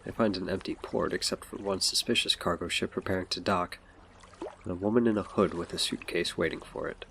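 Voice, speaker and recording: Male story narrator, deep voice, scripted delivery with faint noise behind. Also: fairly even intonation, fairly quick, gravelly, pushed and bright.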